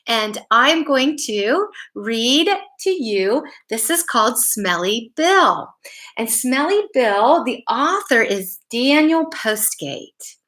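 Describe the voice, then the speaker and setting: Woman, children's story-time host. Higher-pitched, very bright voice, unhurried read-aloud delivery with questions to the kids. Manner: addresses young listeners with simple questions.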